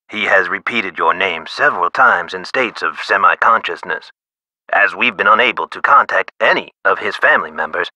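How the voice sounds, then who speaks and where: Animated, bright-voiced eccentric male nurse. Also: low-pitched, no room sound, full character voice, rough recording. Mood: friendly, professional. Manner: theatrical, whimsical, swooping emphasis. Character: chatty, eccentric, mellow-minded male hospital nurse. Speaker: nurse character in an adventure game. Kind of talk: video game character dialogue, explanatory.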